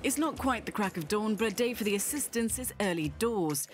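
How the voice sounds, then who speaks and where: A woman narrating a scripted documentary feature in a brisk, lively voice-over. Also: medium pitch, noisy background. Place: voice-over studio. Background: background music.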